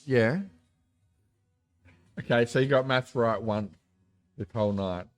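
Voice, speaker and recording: A man's voice: mid-range voice, takes it slowly. A drunk man in his twenties teasing his friend bluntly and swearing in casual vlog banter. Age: 20s. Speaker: vlog co-host.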